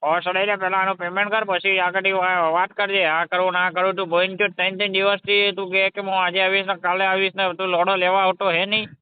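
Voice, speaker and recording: A man's voice: high voice, flat delivery, brisk, few pauses, clear, resonant tone, no room sound, lightly acted. A man playing an exasperated, foul-mouthed garage mechanic, scolding impatiently in a scripted comedy phone call. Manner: scolding, impatient, swearing constantly. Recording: dull lo-fi phone call recording.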